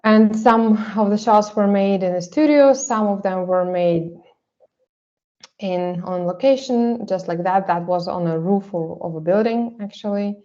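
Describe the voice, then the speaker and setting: Slow, halting woman. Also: mid-range voice, mellow, dull audio. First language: Russian. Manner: halting, hesitant, frequent pauses. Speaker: artist presenting her work. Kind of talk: panel presentation.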